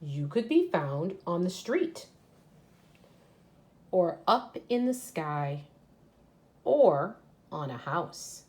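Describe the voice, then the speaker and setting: A woman's voice: fairly low pitch, big pitch swings, extremely slow, pauses often, a little mellow, slight background noise. A woman teacher reading a children's educational book aloud and explaining it to young pupils as she goes. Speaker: third grade teacher.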